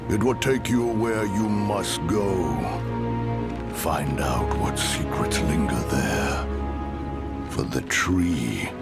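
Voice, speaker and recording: A deep, gravelly ancient tree spirit, drawing out each cryptic phrase very slowly. His pitch rolls up and down with an ominous lilt, with game music swelling behind. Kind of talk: video game cutscene acting.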